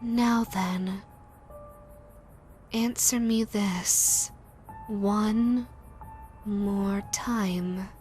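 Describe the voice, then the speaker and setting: A woman playing a female yokai ghost in an audio roleplay, theatrical and deliberate, menacing yet easily flustered. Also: medium pitch, fairly even intonation, a little mellow, faint music behind. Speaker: voice actress. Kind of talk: audio roleplay, character speaking to listener.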